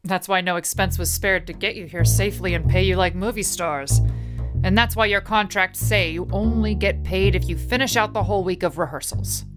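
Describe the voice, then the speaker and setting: An actress playing a brisk, dutiful woman who runs a remote mountain lodge in a radio play. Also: lowish voice, close to an everyday voice. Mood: businesslike. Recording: music behind the voice.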